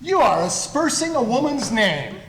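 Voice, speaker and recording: An actor playing a pompous, indignant young English gentleman in a comic stage play, speaking in formal, wordy phrases. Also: high-pitched, sing-song, moderate pace, exaggerated voice. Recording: echoey stage room with faint noise.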